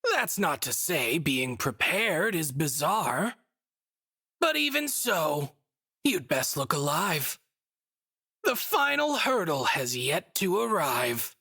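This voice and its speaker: Cryptic female game guide: bright, husky, swooping delivery, arch and mocking. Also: deep voice, big pitch swings, slow pace, exaggerated voice. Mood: amused, ominous. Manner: theatrical, archly formal, speaks in rhyme. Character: cryptic, mocking woman guide speaking in theatrical rhymes. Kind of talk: video game voice acting.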